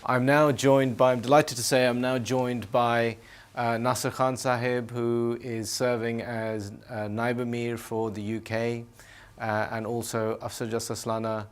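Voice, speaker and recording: Man, telethon host, low voice, flat tone, polite, welcoming. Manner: polite, welcoming, hesitant fillers. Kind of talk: live telethon hosting. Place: studio.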